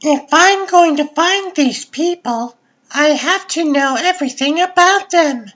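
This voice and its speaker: A woman plays a bold young daughter in a dramatic comic dub. Her voice is high and unhurried, with a persistent, questioning manner. The recording is slightly muffled. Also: fairly bright tone. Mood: insistent.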